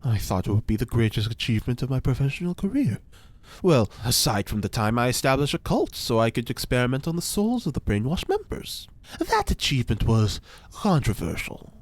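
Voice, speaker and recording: A man's voice: mid-range voice, wildly swooping pitch, dry recording. Man playing a theatrical eccentric occult scientist, swooping delivery. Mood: solemn, wistful. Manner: theatrical, formal, precise, with dramatic pauses. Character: eccentric pompous occult scientist and ex-cult leader, male. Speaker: fictional scientist being interviewed. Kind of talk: science fiction audio drama acting.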